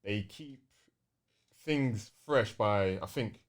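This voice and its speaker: A man's voice: lowish voice, slow. A young man with a London accent chatting casually and enthusiastically on a gaming podcast, using plenty of slang.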